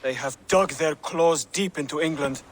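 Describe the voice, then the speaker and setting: Solemn, high-pitched young male assassin, played by an actor. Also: slight background noise, lightly acted. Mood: earnest. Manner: formal, measured, solemn speech. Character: earnest wounded young male assassin acolyte, devout and courteous. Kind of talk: dramatic video game acting.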